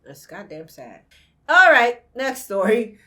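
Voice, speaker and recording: A woman's voice: a little high, very animated, slow pace, bright, forceful tone. A young woman hosting a reaction video, talking bluntly and swearing freely as she comments.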